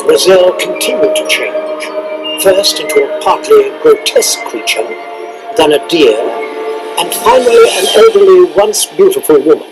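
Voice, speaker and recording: A man giving dramatic scripted narration for a children's read-along cassette, over loud music and effects. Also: fairly high pitch, animated, normal speed, low-quality recording. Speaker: storybook narrator.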